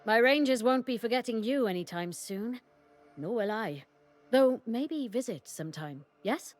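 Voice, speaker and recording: An actress playing a proud, loyal leader of rangers in a fantasy game, assured and sincere. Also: female voice, on the high side, lively intonation, a little slow, soft background music. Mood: resolute, friendly. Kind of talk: dramatic fantasy game acting.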